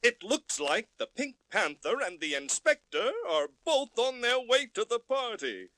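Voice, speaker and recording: An actor playing the playful narrator of a children's cartoon radio drama, with animated, drawn-out delivery. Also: male voice, high-pitched, no room sound, full character voice. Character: playful storybook-record narrator, formal party announcer. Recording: music behind vinyl record audio.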